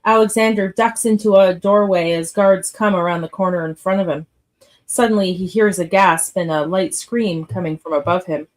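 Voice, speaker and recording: A woman's voice: on the low side, fairly even intonation, normal speed. A woman reading stage directions as narrator for a live radio play script read.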